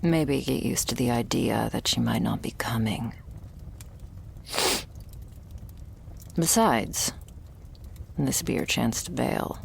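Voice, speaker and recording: Casting: actress as guarded young female vampire's servant, ASMR roleplay. Soft, half-whispered, gravelly fry, dry sardonic delivery, close mic with faint noise. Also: measured pace, heavily acted. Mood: wry, probing. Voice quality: low, soft voice often dropping into whisper. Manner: dry, hesitant, foul-mouthed, sighing delivery. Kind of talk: ASMR roleplay acting, intimate and conversational. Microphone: close ASMR microphone.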